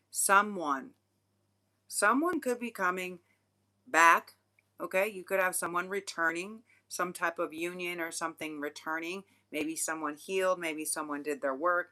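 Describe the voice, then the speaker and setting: A woman with a low voice and a bright, forceful edge gives a tarot reading to her viewers. She rambles frankly, swears casually and fills her sentences with "like" and "I don't know.". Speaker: tarot reader.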